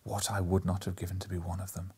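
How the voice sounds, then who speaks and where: A man reading classic fiction aloud as an expressive audiobook narrator. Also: low-pitched, fast, slightly rough.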